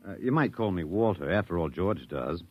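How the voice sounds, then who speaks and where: An actor in a 1950s radio drama playing an unflappable, bookish anthropologist with a sardonic, folksy, nonchalant drawl. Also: male voice, low voice, lively intonation, a little fast, dry recording, faint music behind. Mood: mildly resigned. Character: wry, unflappable, bookish male anthropologist, mildly resigned. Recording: dull, dry vintage radio recording.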